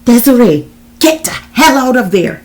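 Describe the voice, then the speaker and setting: A woman's voice: a little high, very animated, a little slow, darkish tone, voice pushed up. A woman as a desperate hollering husband. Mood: desperate, urgent. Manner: urgent hollering. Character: desperate husband shouting warnings over the phone. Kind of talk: dramatic audiobook reading.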